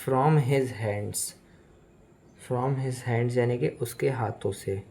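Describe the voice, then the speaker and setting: A man with an Indian accent, a native Hindi speaker, teaching English phrase by phrase in a deliberate, repetitive, explanatory manner. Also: medium pitch, mellow. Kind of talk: bilingual language lesson, phrase by phrase.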